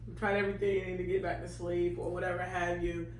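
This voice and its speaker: A woman co-hosting a casual relationship chat, playful and quick to laugh and tease. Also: lowish voice, little pitch movement, roomy sound, slight background noise, rough recording. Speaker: co-host.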